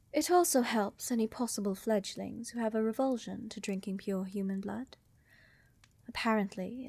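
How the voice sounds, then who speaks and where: A woman playing a tender, doting vampire countess in a soft romantic ASMR roleplay, gentle, reassuring and often whispering close to the microphone. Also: medium pitch, gravelly, no echo. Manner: gentle, reassuring, unhurried, affectionate. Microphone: close microphone, dry.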